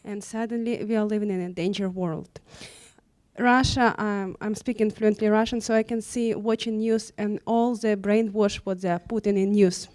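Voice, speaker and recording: A woman's voice: medium pitch, steady tone, normal speed, darkish tone. A woman from Ukraine with a Ukrainian accent, earnestly asking a panel a question in non-native English. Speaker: audience member asking a question.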